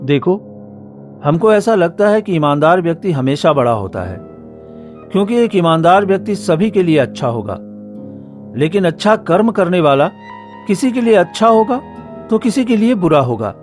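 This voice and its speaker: A man voicing an earnest young village man in a cartoon, explaining calmly and reasonably. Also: sits high, normal speed, faint music behind. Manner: steady and reasoning.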